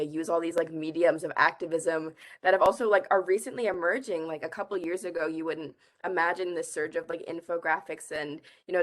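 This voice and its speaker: A young woman from the Bahamas, a climate activist guest answering interview questions earnestly, with frequent "like" and "I think". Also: on the low side. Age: young adult.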